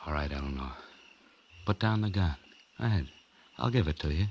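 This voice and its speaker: An actor playing a tense young man on the run in a 1940s radio noir drama, with urgent, halting delivery. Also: a bass voice, animated, darkish tone. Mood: wary. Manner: urgent, halting under stress. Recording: dull, hissy vintage radio recording.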